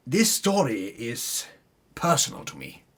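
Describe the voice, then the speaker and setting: A man with a husky voice tells a fantasy campaign tale as a mock-epic dramatic reading. He takes his time, delivering it slowly and pompously at an ordinary male pitch. His grand narration is undercut by dry, self-correcting comic asides. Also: big pitch swings, fairly bright tone. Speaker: storyteller recapping a tabletop campaign.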